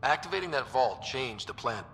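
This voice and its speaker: A man's voice: medium pitch, expressive, normal speed, a bit bright. A man playing an earnest, direct young space explorer who leads a colony effort in a video game. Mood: direct, curious. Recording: long reverb, music behind.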